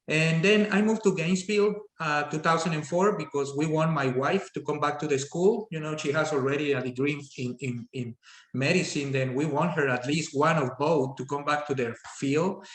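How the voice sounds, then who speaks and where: A man in his fifties from Colombia with a Colombian accent, telling his story as a podcast guest, halting with many fillers and restarts. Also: fairly high pitch, fairly bright tone, roomy sound. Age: 50s. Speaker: guest, business owner. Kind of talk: casual interview storytelling.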